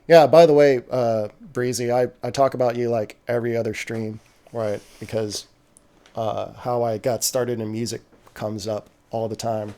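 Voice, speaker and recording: A man's voice: mid-range voice, normal speed. Easygoing man hosting a beat stream. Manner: relaxed, many fillers and restarts. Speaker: music producer hosting a beat critique livestream. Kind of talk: casual livestream commentary. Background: submitted beats playing.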